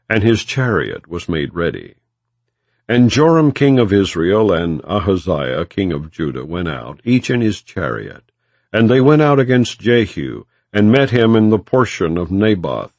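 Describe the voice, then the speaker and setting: A man narrating an audiobook of old scripture in a solemn, measured manner with falling cadences. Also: sits low, dry recording. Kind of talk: scripted scripture reading, King James Bible narration.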